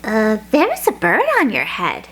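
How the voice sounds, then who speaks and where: A woman reading a children's picture book, voicing a cheerful, matter-of-fact little girl pig with playful, drawn-out delivery. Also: medium pitch, huge pitch swings, a bit bright, slightly rough, full character voice, poor audio. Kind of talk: expressive children's read-aloud.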